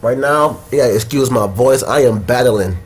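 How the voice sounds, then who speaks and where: A man's voice: mid-range voice, a little slow. A young man hosting a casual podcast with friends. He talks bluntly and swears freely, and he has a head cold. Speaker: podcast host. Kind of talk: casual uncensored chat.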